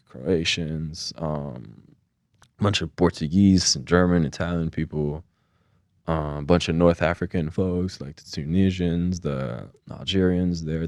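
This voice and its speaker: A young man from Geneva, Switzerland, talking as a podcast guest in a relaxed, casual way, swearing freely and telling stories with energy. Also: low voice, slow pace, no room sound. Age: young adult. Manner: laid-back, swears casually, animated retelling. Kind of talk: casual conversation.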